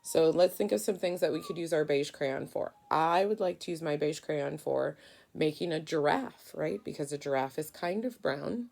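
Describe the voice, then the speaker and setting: A woman's voice: low voice, moderate pace, vocal fry, darkish tone. A woman teaching through a storybook read-aloud, guiding children and prompting them with questions.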